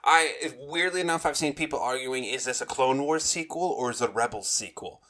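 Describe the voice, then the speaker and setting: A man's voice: medium pitch, a little fast, pushed and bright. A man co-hosting a casual fan podcast, talking emphatically and often repeating himself. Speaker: podcast co-host.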